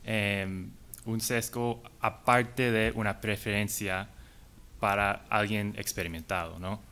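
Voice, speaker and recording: A man, an English speaker, giving a conference talk in halting, hesitant Spanish. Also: fairly low pitch, fairly even intonation, sharp tone, clear, resonant tone. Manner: halting, hesitant delivery in non-native Spanish. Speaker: conference panelist.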